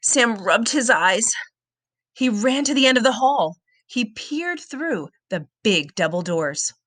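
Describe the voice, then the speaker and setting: A woman reading a children's book aloud as a storyteller, with expressive, dramatic and suspenseful delivery. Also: fairly high pitch, slow pace, no echo, studio quality.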